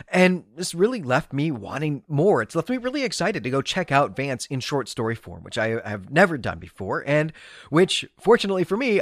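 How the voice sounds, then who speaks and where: A man's voice: on the high side, dry recording, studio quality. A man hosting a solo podcast, reviewing books in an enthusiastic, emphatic, conversational way. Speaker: podcast host and book reviewer.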